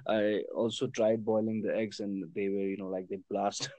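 A man's voice: on the low side, flat delivery, normal speed, warm tone, no room sound, low-quality recording. Man from Kashmir, casual conversation, hesitant delivery, frequent laughs, dry mic. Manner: hesitant, many fillers, laughs often. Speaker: conversation partner. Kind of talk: casual chat.

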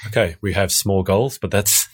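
A man's voice: sits low, normal speed, breathy edge. A man with an Australian accent hosting an interview, asking questions casually and slipping in dry, teasing asides with stammered restarts. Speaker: podcast host.

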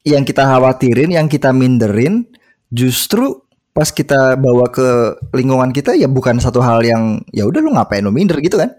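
A man in a casual podcast chat, pushing back with lively everyday examples. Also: mid-range voice, moderate pace.

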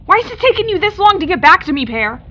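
A woman's voice: very high voice, very animated, fast talker, a crowd in the background. A woman playing a hot-tempered, hurt retail floor manager in a naturalistic audio drama, blunt and sarcastic. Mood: angry, hurt. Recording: muffled listening-device sound, faint noise.

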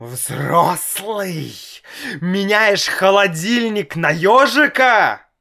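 Brief: hot-tempered father, exasperated and loud, drawn-out lines, played by a male reader. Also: high voice, animated, gravelly, voice pushed up, full character voice. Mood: agitated. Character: nervous, hot-tempered father, shouting and hissing. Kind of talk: storybook reading.

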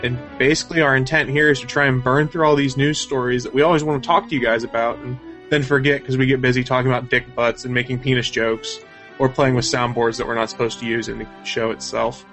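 A man's voice: medium pitch, level tone, fast, fairly bright tone, soft background music. A man hosting a casual, off-the-cuff podcast, chatting informally about news and plans. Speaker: podcast host.

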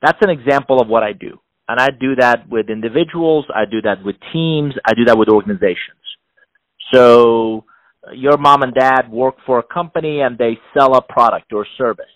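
A man's voice: medium pitch, normal speed, no echo, poor audio. Emphatic middle-aged man, dry sound. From Iran. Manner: animated, emphatic, with frequent restarts and repeated words. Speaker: executive advisor and author. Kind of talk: interview answers.

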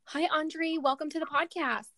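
A woman's voice: sits high, moderate pace, pushed and bright, dry recording, clean studio sound. A woman hosting a podcast, upbeat and eager as she welcomes her guest. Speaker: podcast host.

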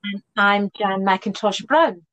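A woman's voice: medium pitch, slow, a bit bright. A woman co-hosting a podcast as a speech therapist, leading a conversational interview with friendly questions and small restarts.

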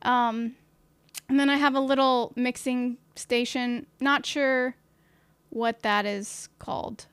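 A woman hosting her own podcast on a live stream, chatty and upbeat, with a loud voice and lots of fillers, talking into a studio mic at home. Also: a little high, steady tone, slow, clear, resonant tone, dry recording. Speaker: podcast host. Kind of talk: casual live-stream chat. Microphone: studio mic with portable recorder.

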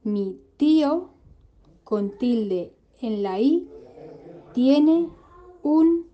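A woman's voice: high-pitched, animated, drawn-out words, a little hiss or hum. A woman reading a spelling dictation, spelling out each accent mark. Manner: spells out accent marks word by word. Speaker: dictation reader. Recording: dull lo-fi recording.

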